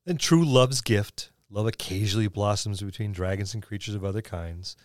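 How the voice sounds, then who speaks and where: A man's voice: fairly low pitch, normal speed, dry recording, studio quality. A man co-hosting a podcast, chatting casually about tabletop roleplaying with wry quips. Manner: wry, quipping. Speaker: podcast co-host.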